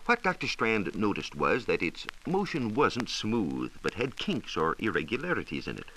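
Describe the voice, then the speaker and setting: An American man explaining science in a measured, precise, didactic way, in a scripted 1940s radio style. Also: lowish voice, huge pitch swings, pushed and bright, low-quality recording. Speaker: science reporter explaining astronomy. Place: radio studio. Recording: hissy 1940s radio transcription disc.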